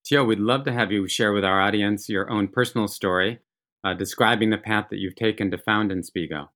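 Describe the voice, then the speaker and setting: Man, lowish voice, podcast host, formal scripted intro. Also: fairly even intonation, fairly quick, a bit bright. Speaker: podcast host, venture partner.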